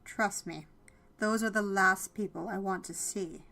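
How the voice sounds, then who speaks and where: A woman's voice: medium pitch, unhurried, gravelly, pushed and bright, faint music behind. A woman voicing a guarded, exasperated young female executive with a curt, skeptical manner in a dramatized audiobook. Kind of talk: dramatized audiobook character dialogue.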